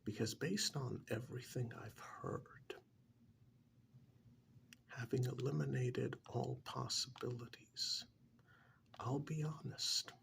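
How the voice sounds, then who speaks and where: Slow, whispery male therapist, acted by a man. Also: medium pitch, fairly even intonation, heavily acted. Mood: measured, probing. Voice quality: soft, breathy whispery voice. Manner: measured, deliberate, pausing between short phrases. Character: calm, probing male couples therapist. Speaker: ASMR role-play performer. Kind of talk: soft-spoken ASMR role-play. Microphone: close microphone.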